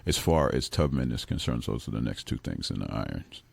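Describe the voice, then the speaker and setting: A man's voice: a bass voice, sing-song, a little fast, gravelly, darkish tone. A man from Brooklyn speaking as a guest on a radio interview, talking in a rambling way and often restarting his sentences. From Brooklyn, New York. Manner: rambling, self-correcting, chuckles now and then. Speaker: guest musician. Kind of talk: relaxed radio interview talk. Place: radio studio.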